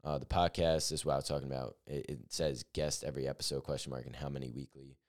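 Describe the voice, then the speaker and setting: A man's voice: very deep voice, fairly even intonation, quick pace. An eighteen-year-old man hosting his own podcast, talking through his plans in an earnest, upbeat, rambling way with many fillers. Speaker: podcast host. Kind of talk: solo podcast monologue.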